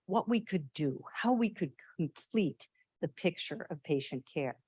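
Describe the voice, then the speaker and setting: An older woman with a lowish voice speaks in a chatty, self-deprecating way, sharing her memories as a former nurse practitioner student. Her voice comes through a remote call and sounds dry, close and free of room echo. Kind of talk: memorial remembrance with humor.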